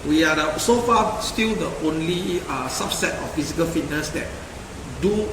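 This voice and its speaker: A man's voice: animated, normal speed. A man with a Singaporean accent talking casually and emphatically in a podcast discussion, often checking with the listener. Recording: echoey hall, distant mic, rumbling noise.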